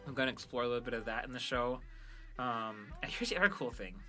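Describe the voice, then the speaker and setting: A male podcast host with a strikingly bright, forward voice. He talks casually and earnestly, full of enthusiasm for what he is presenting. Music plays softly behind him. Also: mid-range voice, fast. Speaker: podcast host and audio drama creator. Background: background music.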